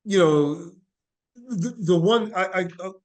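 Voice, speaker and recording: A man's voice: high voice, slow pace, a little mellow. A man giving reflective interview answers as a guest, speaking earnestly and motivationally, repeating phrases for emphasis. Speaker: podcast guest, actor and playwright.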